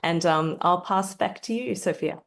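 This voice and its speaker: A woman's voice: lowish voice, normal speed, vocal fry. An Australian woman joining remotely over a video call, introducing herself in a friendly, enthusiastic way with fillers. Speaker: cartoonist and climate campaigner joining remotely.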